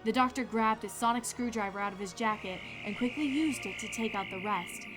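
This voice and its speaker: A woman's voice: on the high side, fairly quick. A woman reading scripted story narration as the narrator of a fan fiction. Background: music.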